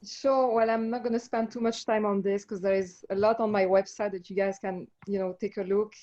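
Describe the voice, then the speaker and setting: French-accented woman, mellow voice. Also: medium pitch, flat delivery, brisk, lo-fi sound. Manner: passionate, reflective, with many ums. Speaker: life coach and guest. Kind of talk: casual interview chat.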